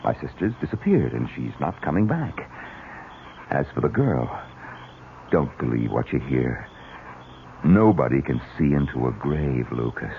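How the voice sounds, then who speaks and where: A man's voice: very deep voice, sing-song, unhurried, creaky, pauses often, full character voice. An actor playing a scheming, irritable married man with a curt, evasive manner in an old-time radio drama. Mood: firm, cold. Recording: muffled, noisy old radio recording.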